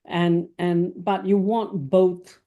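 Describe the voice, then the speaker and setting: A woman's voice: fairly low pitch, level tone, takes it slowly, warm tone. A middle-aged woman from Malaysia speaking as a tech executive guest, thinking aloud and often tagging her points with "right?". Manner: thoughtful, tags points with "right?". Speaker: CIO guest. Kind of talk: reflective interview talk.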